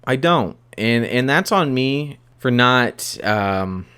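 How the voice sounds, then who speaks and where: A young man hosting a solo podcast, talking candidly and confessionally in a halting, self-reflective way that often doubles back on phrases. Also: medium pitch, animated, pushed and bright, clear, resonant tone. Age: young adult.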